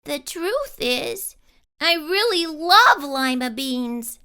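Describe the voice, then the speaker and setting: A woman's voice: high voice, sing-song, drawn-out words, bright, forceful tone, pitched above the performer's own voice, heavily acted. A woman voicing an anxious young schoolgirl in a storybook, with an emphatic, exclaiming delivery. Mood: urgent confession. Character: young girl, anxious about what others think.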